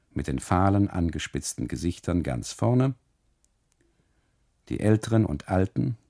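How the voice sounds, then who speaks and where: A man performing a scripted literary radio monologue as a first-person narrator, with dramatic, deliberate phrasing. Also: low voice, creaky, mellow. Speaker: actor narrating as a photographer husband.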